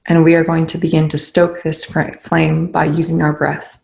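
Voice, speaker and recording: A meditation leader guiding listeners in a soothing, unhurried way, with pauses between short instructions. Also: medium pitch, monotone, creaky, a little mellow, low-quality recording. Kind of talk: guided meditation.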